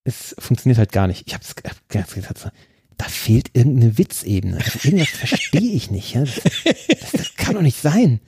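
A man's voice: mid-range voice, very animated, normal speed, a little mellow, breathy edge, dry recording. A man co-hosting a podcast in casual chat, enthusiastic and laughing often. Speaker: podcast co-host.

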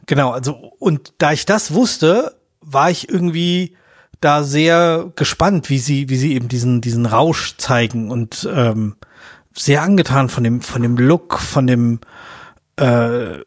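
A man's voice: medium pitch, a little slow, dry recording, studio quality. A man speaking as a podcast host in a casual, colloquial film review chat with slangy turns of phrase.

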